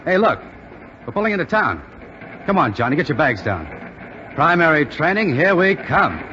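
An actor playing a brash, friendly young army cadet in slangy 1940s radio drama style. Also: male voice, fairly high pitch, very animated, moderate pace, over music. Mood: teasing, encouraging. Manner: slangy, ribbing. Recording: dull 1940s radio broadcast, background noise.

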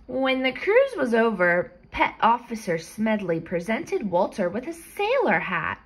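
Lady reading a kids story out loud, slow and expressive, higher voice. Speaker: storybook reader. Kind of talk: children's picture-book read-aloud.

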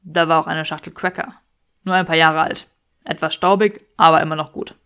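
A woman's voice: low-pitched, steady tone, a little fast, a bit bright, smooth. A woman voicing a smug, teasing young aristocratic man with a drawling, mock-serious manner in an audiobook.

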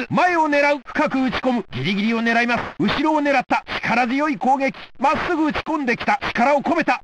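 A man playing an excitable, energetic play-by-play sports announcer in a video game, calling the action in short scripted bursts. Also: very high-pitched, slight background noise. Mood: excited.

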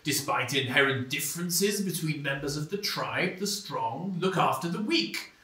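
A man's voice: on the high side, animated, normal speed, sharp tone. An actor playing a smug male intellectual debating on TV in a stage play, heard from a far mic in a small stone-walled theater. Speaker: debate guest. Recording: roomy, distant stage sound.

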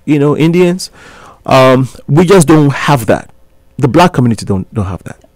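A man's voice: medium pitch, very animated, moderate pace, darkish tone, no room sound. A man in his thirties from Ghana, a guest on a college radio interview, speaking earnestly and persuasively with frequent restarts. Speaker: guest, talk show host. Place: small college radio studio.

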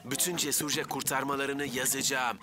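A man's voice: medium pitch, flat delivery, moderate pace, natural voice. A man voicing an eager, bumbling cartoon fireman with a stammering, over-enthusiastic delivery. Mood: excited. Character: eager, bumbling young fireman, excitable admirer. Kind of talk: dubbed children's cartoon acting.

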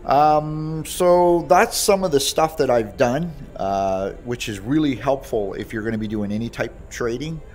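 A man giving a casual, halting, conversational gameplay demonstration. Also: mid-range voice, lively intonation, a little mellow. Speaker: creator demonstrating his voice pack. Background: music behind the voice.

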